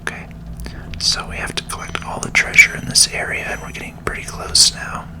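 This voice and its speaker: Soft whispering ASMR gamer. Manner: slow, calming. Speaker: video game streamer. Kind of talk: ASMR gaming commentary.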